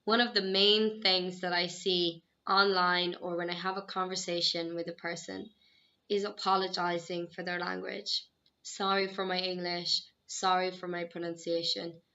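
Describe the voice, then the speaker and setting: A young Irish woman, an English teacher, introducing herself to students in a friendly, encouraging and clearly measured way. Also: on the low side, little pitch movement, pushed and bright. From Ireland. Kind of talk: self-introduction video to students. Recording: dull, slightly lo-fi sound.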